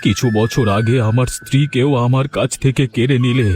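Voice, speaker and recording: A man voicing a betrayed, weary old village gentleman in a cartoon, speaking in a plaintive, polite way. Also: medium pitch, fairly even intonation, fairly quick, background noise, close to an everyday voice. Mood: grieving, weary. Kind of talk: dramatized Bengali moral-story cartoon dub.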